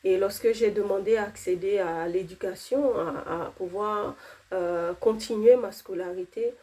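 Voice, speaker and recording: Earnest woman with a mellow voice. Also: mid-range voice, a little slow. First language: not French. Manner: earnest, with fillers and restarts. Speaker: testimony speaker. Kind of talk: spoken personal faith testimony.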